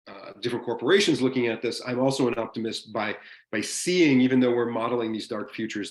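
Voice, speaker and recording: A man's voice: fairly low pitch, echoey room. Enthusiastic man lecturing over a video call. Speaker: guest speaker in a university seminar.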